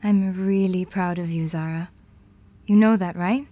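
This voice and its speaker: A woman playing a self-assured, brisk professional futurist in an audio drama, speaking matter-of-factly. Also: on the low side, fairly even intonation, mellow, close to an everyday voice. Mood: sincere, careful.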